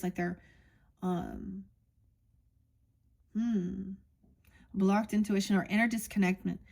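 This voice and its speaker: Woman musing through an oracle reading. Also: mid-range voice. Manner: meandering, musing, reads card texts aloud. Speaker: tarot reader. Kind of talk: casual oracle card reading.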